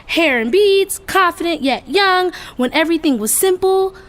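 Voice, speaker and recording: A woman's voice: very high voice, lively intonation, moderate pace, slight background noise. An actress playing a sweet, shy, eager-to-please young woman sports fan with a hesitant manner in an audio drama. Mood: shy, hopeful. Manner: hesitant, giggly. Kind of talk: naturalistic audio drama acting.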